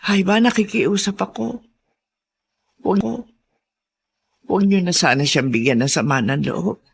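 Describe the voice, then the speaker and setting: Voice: medium pitch, a little slow, vocal fry, breathy edge. A performer playing a concerned older neighbour woman in a radio drama, hesitant, confiding and pleading. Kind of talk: Tagalog radio drama acting.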